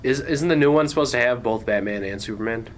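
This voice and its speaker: A man's voice: medium pitch, fast talker. A young man co-hosting a podcast, chatting in a laid-back way and laughing along. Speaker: podcast co-host. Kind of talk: casual chat. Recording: faint background noise.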